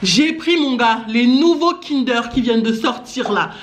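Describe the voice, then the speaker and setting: A woman's voice: on the high side, animated, unhurried. A woman chatting casually and informally, with slangy phrasing, as a family vlogger at home. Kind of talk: casual haul vlog chat. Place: indoor living room at home. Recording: echoey room, clear noise, clicks.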